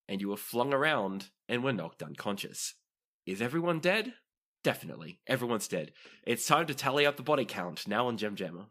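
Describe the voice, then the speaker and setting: An animated game master narrates a tabletop roleplaying show with a widely swinging, mid-pitched voice. The delivery is dramatic in the storytelling and turns dry and joking between scenes.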